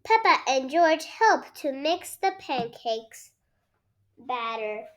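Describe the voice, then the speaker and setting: Very high, slow child storybook reader. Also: sing-song. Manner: halting, repeats words while reading. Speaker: read-aloud channel host. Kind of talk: storybook read-aloud.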